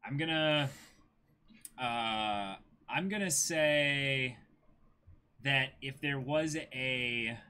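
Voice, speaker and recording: A man's voice: medium pitch, very slow, pauses often, bright, forceful tone, clear, resonant tone. A man in his twenties chatting casually and animatedly as a co-host on an anime discussion stream, often laughing. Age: 20s. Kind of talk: casual chat.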